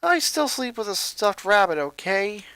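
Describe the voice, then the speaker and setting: A man voicing a sensitive, shy young boy in a story reading, halting and uncertain. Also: high voice, sing-song, bright, forceful tone, smooth, dry recording, pitched above the performer's own voice, heavily acted. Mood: shy, sheepish.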